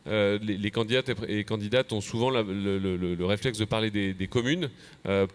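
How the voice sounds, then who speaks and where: A man with a French accent from France gives a semi-prepared conference presentation as an association official, halting and repeating words as he goes. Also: on the low side, smooth. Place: convention plenary session.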